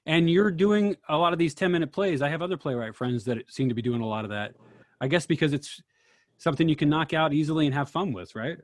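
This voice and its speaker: A man hosting a casual discussion, asking questions in a rambling, halting way and correcting himself as he goes. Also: a little high, animated, dry recording, studio quality.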